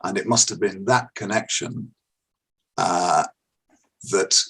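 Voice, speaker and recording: An older man with a husky voice, chatting casually as an interview guest in a rambling, hesitant way and repeating himself. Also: low-pitched, takes it slowly, fairly bright tone. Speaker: interview guest, actor and director. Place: bedroom at home, on a video call.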